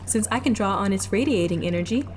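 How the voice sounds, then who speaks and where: A woman's voice: mid-range voice, quick pace, a little hiss or hum, lightly acted. A woman voicing a polite, helpful female android companion who speaks in formal, precise phrases. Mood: calm. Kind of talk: audio drama acting.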